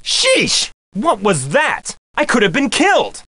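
A man's voice: very high voice, wildly swooping pitch, slow pace, very noisy, full character voice. An actor playing a vain male soap opera star in a video game, theatrical and indignant. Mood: outraged.

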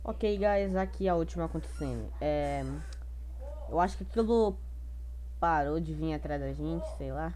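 A woman's voice: low voice, expressive, measured pace, mellow, slight background noise. A woman with a Brazilian accent giving casual, slangy gameplay commentary as a gaming YouTuber, chatting and thinking aloud.

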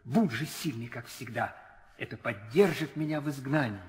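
Noble young prince played by an actor, solemn. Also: male voice, mid-range voice, normal speed, close to an everyday voice. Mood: solemn resolve. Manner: solemn, declamatory. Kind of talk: 1960 theatrical audio drama acting. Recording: old radio play, hall reverb.

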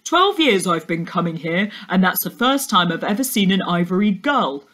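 A woman's voice: on the low side, big pitch swings, normal speed, a bit bright. Woman voicing an eager oddball birdwatcher man. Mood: excited. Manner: enthusiastic, insistent. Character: chatty, oddball male birdwatcher, middle-aged stranger.

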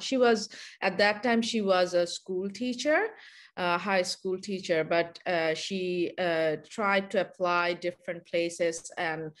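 Bangladeshi-accented woman filmmaker, lowish voice, halting with fillers, video-call guest at home. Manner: halting, many filler sounds. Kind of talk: webinar interview answer.

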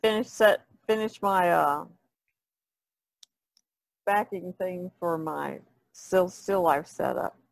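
A woman's voice: lowish voice, slow pace, vocal fry, long pauses, dry recording, poor audio. A woman chatting casually as an artist on a podcast panel.

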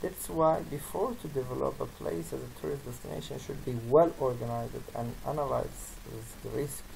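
A gravelly-voiced presenter who speaks English as a second language. The delivery is halting, moving through slide text with short asides. Noticeable noise sits behind the voice in an amateur recording. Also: medium pitch, a little mellow, poor audio. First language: not English. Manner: halting, non-native phrasing.

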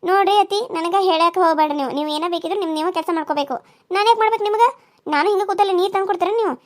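A woman voicing a sharp-tongued young daughter-in-law who scolds defiantly. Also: very high voice, rapid-fire. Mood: snappy.

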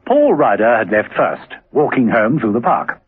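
A man's voice: medium pitch, wildly swooping pitch, normal speed, breathy edge. A man narrating a radio drama in a scripted, dramatic style. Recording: lo-fi old radio recording.

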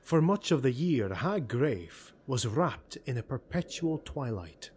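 A man narrating a dark fantasy audiobook in a measured, dramatic storytelling manner. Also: medium pitch, sing-song, a little hiss or hum.

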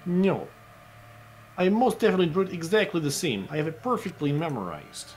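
A man voicing a creepy, smug, eloquent young man in a game fan dub, formal and deliberate with a condescending manner. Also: fairly high pitch, very animated, a little hiss or hum, low-quality recording. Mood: cool insistence.

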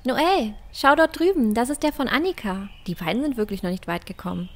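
A woman's voice: a little high, animated, a little fast. A woman playing a cheeky, adventurous, optimistic girl in a fantasy audio drama. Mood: eager, upbeat.

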